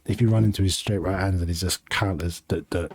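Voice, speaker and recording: A man's voice: low-pitched, steady tone, fast, a little mellow, husky. Slangy young man with hay fever. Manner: slangy, rambling, laughs easily. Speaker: podcast host. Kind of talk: casual chat.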